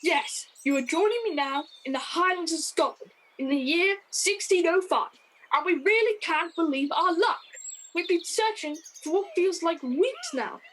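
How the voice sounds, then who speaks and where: A woman's voice: very high-pitched, expressive, moderate pace, a bit bright, a little hiss or hum, poor audio. A woman playing an awestruck nature correspondent in a mock documentary for a comedy radio play. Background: birdsong.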